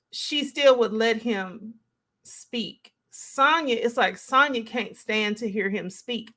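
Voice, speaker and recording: A woman's voice: mid-range voice, bright, forceful tone. A woman hosting a live stream, giving casual, conversational reaction commentary as she thinks aloud and responds to chat.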